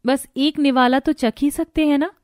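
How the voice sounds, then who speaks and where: A woman's voice: high voice, fairly even intonation, normal speed, darkish tone, close to an everyday voice. A woman playing a meek, hopeful young bride in a cartoon story, speaking politely and plaintively.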